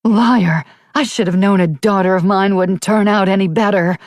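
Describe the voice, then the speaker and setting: A woman's voice: medium pitch, fairly even intonation, normal speed, dry recording, studio quality, voice pushed up, lightly acted. A woman voicing a cruel, scornful mother who berates her daughter. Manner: sneering, contemptuous.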